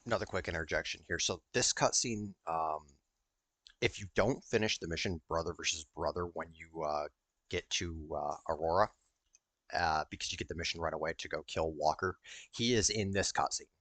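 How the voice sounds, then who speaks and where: Gaming commentator, male, low register, rambling and hesitant, swears freely, casual delivery. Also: moderate pace, a bit bright, no room sound. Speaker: gaming YouTuber.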